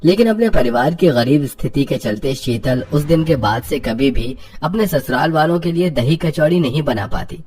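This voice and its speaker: A man's voice: fairly high pitch, expressive, normal speed, few pauses, noisy background. A man narrating a scripted cartoon story as the storyteller.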